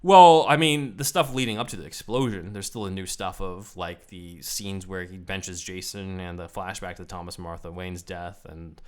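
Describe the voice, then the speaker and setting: A man's voice: sits low, fairly even intonation, moderate pace, few pauses. A man hosting a podcast, explaining things casually and giving his opinions in a conversational way. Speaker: podcast host.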